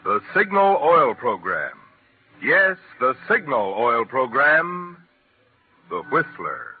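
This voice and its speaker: A man's voice: mid-range voice, very animated, slow. A man announcing a sponsored 1940s radio show in an emphatic, declamatory manner. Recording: tinny vintage 1940s radio recording, music behind.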